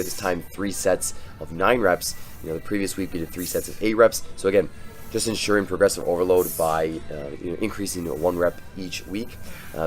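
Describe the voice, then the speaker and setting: A young man with a cold, sounding hoarse, narrating his own workout footage in a relaxed, rambling way with many fillers and restarts. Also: low-pitched. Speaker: fitness vlogger. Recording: faint music behind the voice.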